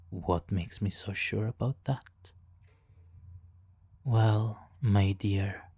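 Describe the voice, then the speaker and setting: A man playing a suave, teasing, hypnotically controlling supervillain in a soft, intimate ASMR roleplay, condescending and purring, breathy in places. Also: fairly low pitch, dry recording, heavily acted. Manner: condescending, purring, drawn-out pauses, soft chuckles.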